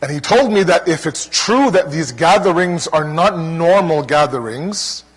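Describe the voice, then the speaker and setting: A man from Toronto with a Canadian accent preaching to a congregation in an earnest, rhetorical manner, pausing between deliberate parallel phrases. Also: fairly high pitch, lively intonation, slightly rough. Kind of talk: religious lecture to a congregation. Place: large hall, speaking to a gathering. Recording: long hall reverb.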